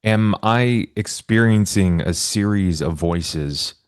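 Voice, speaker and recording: A man talking casually as a player in a roleplaying game, measured and questioning as he thinks aloud. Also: fairly low pitch, clear, resonant tone, no echo, clean studio sound. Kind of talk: casual, thoughtful game talk.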